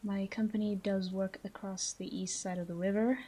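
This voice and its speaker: A woman playing a flirty, menacing female mob boss in a roleplay audio drama, teasing and deliberate, with breathy asides. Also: mid-range voice, level tone, warm tone, natural voice. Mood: smooth, businesslike.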